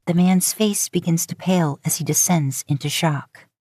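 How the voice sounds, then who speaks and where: A woman's voice: on the low side, steady tone, normal speed, mellow, no echo, clean studio sound. A woman reading scripted fiction as an audiobook narrator.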